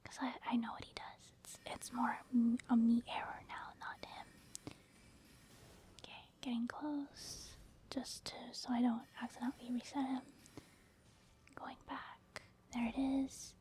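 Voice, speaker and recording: A woman giving casual, rambling ASMR commentary on her video game play in a breathy whisper, explaining her thought process. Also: fairly high pitch, level tone, measured pace, a little mellow. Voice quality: breathy, mostly unvoiced whisper. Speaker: gaming ASMR creator.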